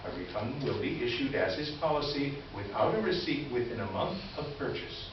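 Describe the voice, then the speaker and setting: A man's voice: mid-range voice, animated, moderate pace, lightly acted. A man playing an officious, condescending store manager, reading a formal business letter aloud in reader's theater. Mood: smugly formal. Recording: echoey hall, distant mic, dull, noisy.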